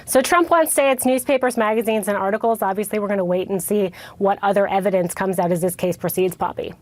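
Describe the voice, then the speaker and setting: A woman's voice: medium pitch, quick pace, darkish tone, no echo. A woman correspondent delivering a live television news report, speaking conversationally to an anchor.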